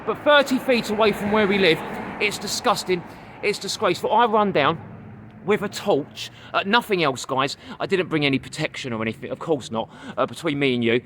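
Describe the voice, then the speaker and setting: A man with a London accent talks straight to his camera as he walks outside, chatty and full of uh fillers, a little short of breath. His voice sits fairly high for a man. Busy street traffic roars clearly behind him. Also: expressive, brisk, a bit bright. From London, England. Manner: chatty, addresses viewers as guys, many uh fillers. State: walking, gets out of breath. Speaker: vlogger and comedian. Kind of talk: casual vlog talking to camera. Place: outdoors on a busy city street. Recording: street noise and passing vehicles.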